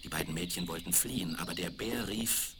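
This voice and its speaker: A man's voice: low voice, fairly quick. A man reading a scripted fairy tale for children as the narrator of a radio play. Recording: crackly, very noisy 1970s vinyl record.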